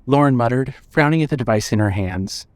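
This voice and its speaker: A man's voice: mid-range voice, expressive, fairly quick, no echo. A man narrating a scripted story as the narrator of an audio drama.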